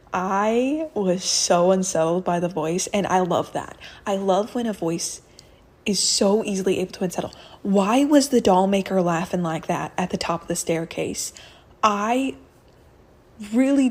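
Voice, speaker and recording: A woman's voice: mid-range voice, darkish tone. A woman listener rambling enthusiastically in a casual voice message to a podcast.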